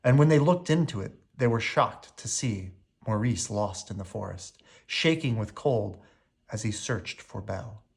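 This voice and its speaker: A man reading a children's bedtime story aloud with expressive narration. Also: on the low side, unhurried, gravelly. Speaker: storybook reader.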